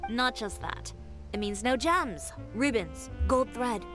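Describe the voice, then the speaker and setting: A proud boutique owner and designer, cool and self-assured. Her voice sits a little high, and she hands out orders crisply. A game soundtrack plays beneath her. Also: expressive, takes it slowly. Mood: composed. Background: game music.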